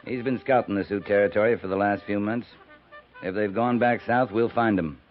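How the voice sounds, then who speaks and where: An actor playing a blunt, weary US cavalry captain with a curt, sardonic delivery in a 1950s radio western. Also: male voice, lowish voice, fairly even intonation, normal speed, natural voice. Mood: firm, grim. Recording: muffled narrow-band old 1950s radio recording.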